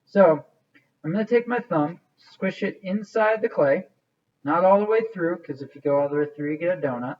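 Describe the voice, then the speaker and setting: Male instructor, somewhat high-pitched, casual step-by-step demo delivery, thinks out loud, muffled low-fidelity sound. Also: normal speed. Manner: informal, thinking aloud as he works. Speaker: art teacher. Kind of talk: hands-on demonstration, casual instruction.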